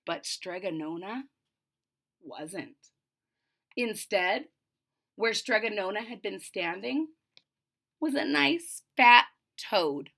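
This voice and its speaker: A woman reading a story aloud for children, expressive and teacherly, pausing to explain it simply. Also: medium pitch, creaky. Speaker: storybook reader.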